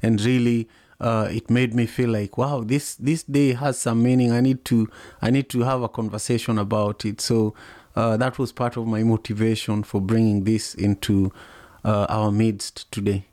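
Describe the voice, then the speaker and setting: A man's voice: fairly low pitch, level tone, moderate pace, darkish tone, dry recording, studio quality. A man with an East African accent, a native Luo speaker, hosting a reflective group discussion in a conversational way. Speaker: host of an online audio discussion.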